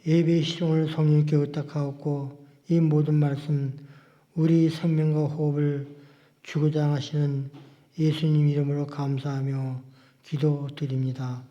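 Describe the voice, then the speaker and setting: A man prays formally before a congregation in a slightly high male voice. His delivery is solemn and very even, with measured, unhurried phrases. The recording sounds somewhat muffled. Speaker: congregant leading the representative prayer at church. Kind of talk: formal public prayer. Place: church service.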